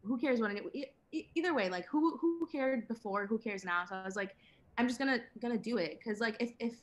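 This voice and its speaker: A woman's voice: a little high, a little fast. An eighteen-year-old woman from Venezuela, a singer-songwriter guest chatting in an interview, laughing often and talking with many fillers and restarts. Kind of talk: casual interview chat.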